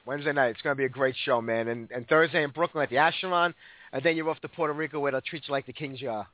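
A hoarse man hosting a radio show, chatting eagerly and joking with his guests. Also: medium pitch, racing, no room sound. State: hoarse from illness. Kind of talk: casual radio interview chat. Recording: tinny dry phone-like sound.

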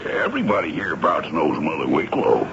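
An actor playing an astonished, sheepish army sergeant in a radio drama, stammering and flustered. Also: male voice, rumbling low, sing-song, fairly quick, creaky, exaggerated voice. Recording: dull, hissy 1970s radio broadcast.

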